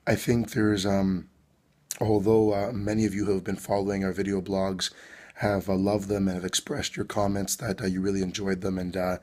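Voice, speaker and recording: Brief: male priest, low-ish voice, hoarse from a cold, halting with lots of ums. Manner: hesitant, many ums and uhs. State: hoarse, losing his voice. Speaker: Orthodox priest. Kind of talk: video blog address. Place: abroad on a trip.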